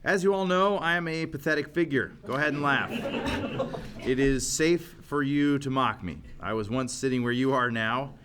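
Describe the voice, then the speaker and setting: A man's voice: mid-range voice, normal speed, background noise, lightly acted. A man playing an earnest, self-mocking office worker giving a speech in a sitcom script reading. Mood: earnest, inspirational.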